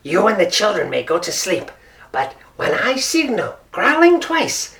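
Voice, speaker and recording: A woman's voice: very animated, a little slow, a bit bright, distant mic. A woman voicing a sly, scheming male jackal who boasts and cackles in a raised voice. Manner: high, cunning, laughing.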